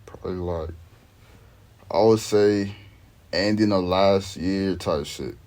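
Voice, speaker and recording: A man's voice: deep voice, slow pace, a little mellow. A young man from Sweden, a rapper, chatting in a laid-back, slangy way as the interview guest. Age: young adult. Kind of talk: casual interview chat.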